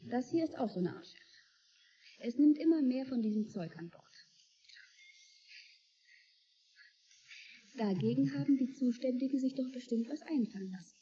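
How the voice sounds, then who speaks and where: A sardonic young woman, mellow, high. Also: expressive, brisk. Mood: insistent. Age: young adult. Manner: sardonic, dry, probing. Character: sharp-tongued, skeptical young woman. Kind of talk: German radio play dialogue. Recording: dull, slightly noisy radio drama recording.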